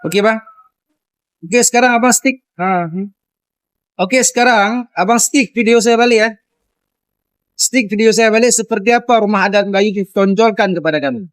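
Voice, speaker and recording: A man's voice: high voice, normal speed, pauses often, clean tone. A man speaking directly to someone in a video response, insistently challenging him with repeated questions.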